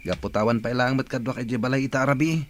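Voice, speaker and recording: A man's voice: medium pitch, fast talker, natural voice. An actor playing an evasive, wheedling male cousin in an Ilocano comedy radio drama, with an Ilocano accent. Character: evasive male cousin begging a place to sleep. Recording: background noise and ambience.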